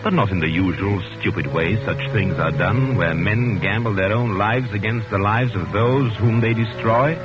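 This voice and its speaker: An actor playing a calm, precise defendant who has coldly planned a crime, in 1940s radio acting style. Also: male voice, on the low side, close to an everyday voice. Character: cold, calculating male murder defendant. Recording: dull old radio recording with music.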